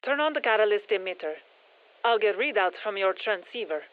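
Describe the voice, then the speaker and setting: An actress playing a composed scientist who gives soldiers matter-of-fact, dryly wry mission instructions through a radio filter in a game. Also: female voice, mid-range voice, animated, fairly quick, no echo, narrow, tinny audio. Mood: calm, instructive. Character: composed female scientist-engineer guiding soldiers against alien parasites. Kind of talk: scripted game mission briefing.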